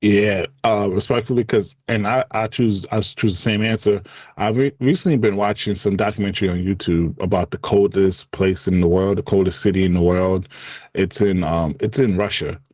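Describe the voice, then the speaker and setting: A man with a Haitian accent co-hosting a podcast in casual chat, animated and quick to laugh, his voice jumping high when he gets excited. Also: dry recording. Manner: lively, jumps high in pitch when excited, laughs often. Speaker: podcast co-host.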